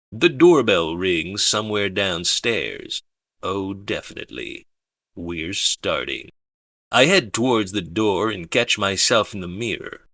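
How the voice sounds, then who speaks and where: A man narrating a first-person audiobook in a measured, understated way. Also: fairly low pitch, bright, forceful tone, no room sound, clean studio sound.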